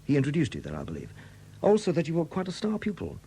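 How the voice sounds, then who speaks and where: An actor playing a shrewd, relentless police superintendent in a 1970s radio drama, questioning in a deliberate, courteous but insistent way. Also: male voice, fairly high pitch, huge pitch swings, dark tone, husky, full character voice. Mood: accusing, probing. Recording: dated 1970s radio recording.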